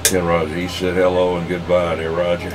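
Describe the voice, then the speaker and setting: A man's voice: low voice, monotone, a little fast, very noisy. A man chatting casually as a CB radio operator, folksy and slangy in his talk. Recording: CB radio session.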